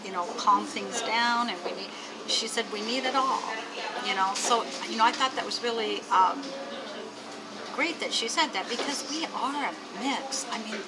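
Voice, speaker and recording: A woman in casual conversation, animated and laughing often. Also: fairly high pitch, normal speed, heavy background noise.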